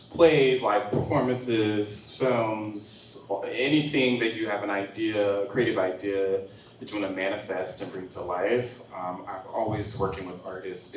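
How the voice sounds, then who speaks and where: A man speaking on a panel in a measured, articulate and earnest way. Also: lowish voice. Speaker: creative producer, organizer. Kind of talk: panel discussion. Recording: echoey hall, distant mic, faint hiss.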